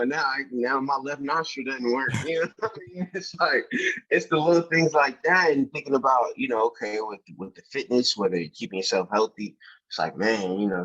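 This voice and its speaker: Casting: young man, husky and lowish voice, animated slangy podcast guest, muffled lo-fi sound. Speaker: podcast guest, filmmaker and actor. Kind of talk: casual chat. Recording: dull, muffled lo-fi audio.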